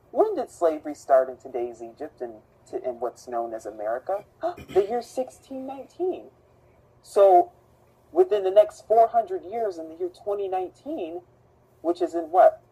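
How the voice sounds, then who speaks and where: A woman with a very husky, breathy voice narrates with theatrical rhetorical questions. Her pitch sits low but leaps around widely, and her tone is dark and mellow. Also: low-quality recording. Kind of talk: narrated conspiracy video.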